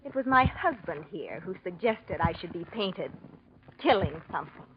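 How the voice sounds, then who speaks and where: Femme fatale, scheming and silkily polite, vintage radio thriller, dull sound with light noise. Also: female voice, lowish voice, sing-song, normal speed. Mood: silky, cruel. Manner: silky, mocking politeness. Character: cold, seductive, scheming femme fatale wife. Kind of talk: 1940s radio crime drama acting.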